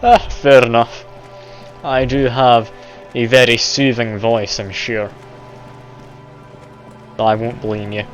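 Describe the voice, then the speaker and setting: A man's voice: mid-range voice, steady tone, slow pace, long pauses. A man streaming a game live, chatty and self-deprecating, laughing often as he plays. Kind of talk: casual live game commentary. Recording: dull lo-fi stream audio, game music behind.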